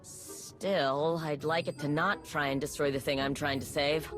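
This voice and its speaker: A game tech gal, low voice, dry and casual, practical, music going behind. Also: female voice, fairly even intonation, unhurried. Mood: exasperated, thoughtful. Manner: casual, wry. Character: wry, practical woman technician. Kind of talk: video game character acting.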